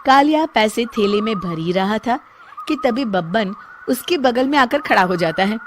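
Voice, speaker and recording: A woman's voice: fairly high pitch, lively intonation, normal speed, a little hiss or hum. A woman reading a scripted storybook tale as its narrator.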